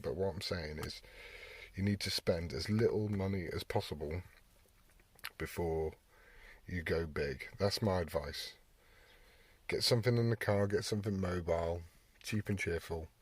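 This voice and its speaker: A British man with a fairly low voice talks off the cuff in a rambling, blunt way. He sounds like a hobbyist making a casual vlog. The recording has plainly audible vehicle-like noise around him. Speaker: hobbyist vlogger. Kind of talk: casual unscripted chat.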